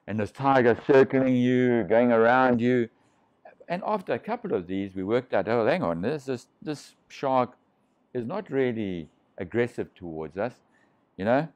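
A man's voice: mid-range voice, animated, normal speed, darkish tone. A middle-aged man, a shark diving pioneer, telling long rambling stories over drinks on a living room sofa, full of restarts. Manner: rambling, restarts, swears freely. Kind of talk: casual storytelling over drinks.

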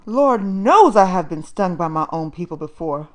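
A woman's voice: fairly low pitch, lively intonation, normal speed, lightly acted. A woman voicing a stern, haughty foster mother who scolds in a pompous, formal way, in an audiobook. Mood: pompous scolding.

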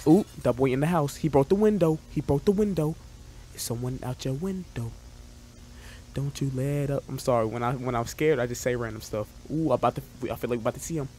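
A young adult man giving casual, excitable reaction commentary in a jumpy, slangy, joking and rambling way, close on the microphone. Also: medium pitch, fairly quick, slight background noise. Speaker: reaction video host. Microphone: close microphone at a desk. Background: music from the watched videos.

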